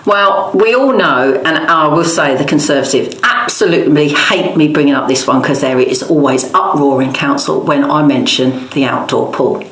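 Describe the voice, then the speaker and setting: A woman's voice: low voice, normal speed, hardly any pauses, fairly bright tone, roomy sound, rough recording. A middle-aged woman giving conversational interview answers, emphatic and opinionated, listing her points in long runs. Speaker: local campaigner and interviewee.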